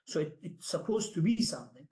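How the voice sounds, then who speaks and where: A man speaking as a panelist in spontaneous discussion, halting and often repeating his phrases. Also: a little high, lively intonation, dark tone.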